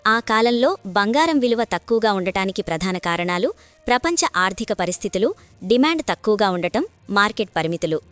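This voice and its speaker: A woman's voice: mid-range voice, animated, a little fast, faint music behind. A woman narrating a scripted news report.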